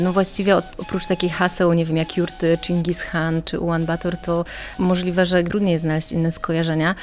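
A woman's voice: fairly low pitch, darkish tone, a little hiss or hum. A woman talking conversationally as a travel guide in a radio interview, chatty and explanatory in long, rambling sentences.

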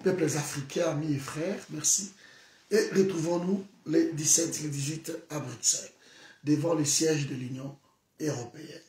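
A man in his thirties with a Congolese French accent and Lingala as his first language, on a sofa in an echoey room, set a little back from the microphone. His voice sits slightly high, and he argues his point with mounting passion, at times rising to a shout. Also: steady tone, normal speed. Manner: switches between French and Lingala, grows heated and shouting. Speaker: political vlogger. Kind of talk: impassioned political monologue to camera. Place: indoor room, seated on a sofa. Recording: echoey room, voice a bit far from the mic.

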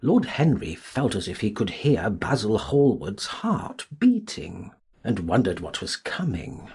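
A man's voice: fairly low pitch, very animated, measured pace, darkish tone, slightly rough. A man narrating a novel as a scripted audiobook.

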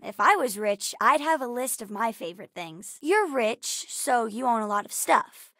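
A woman voicing a bright, bookish, chatty young girl with an American accent, as a video game character. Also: on the high side, moderate pace, dry recording, clean studio sound. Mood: chatty, curious. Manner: chatty, expressive, youthful.

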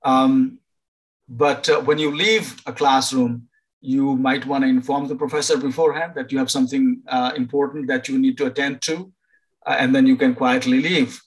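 An older man from Nepal, a professor advising international students over a video call, pausing often to find words. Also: mid-range voice, fairly even intonation, rough recording. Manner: halting, many fillers and restarts. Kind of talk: informal online presentation.

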